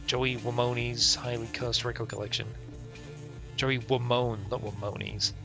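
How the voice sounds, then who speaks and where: A man's voice: medium pitch, little pitch movement, measured pace. A man live-streaming a game, reading its text aloud and adding casual, sweary, offhand comments, with game music behind him. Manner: casual, profane, offhand asides.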